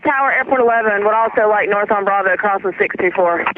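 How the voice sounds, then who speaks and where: A man with a high voice makes brisk radio calls as an airport vehicle driver. The sound is thin and phone-like, a tinny radio transmission with faint static.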